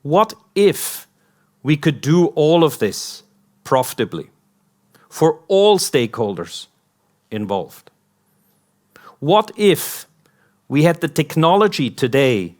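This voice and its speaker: Man, American accent, conference speaker, unhurried and rhetorical. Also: on the high side. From Hong Kong. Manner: slow, rhetorical, repeating "what if" questions. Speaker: company founder giving an event talk. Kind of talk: conference speech.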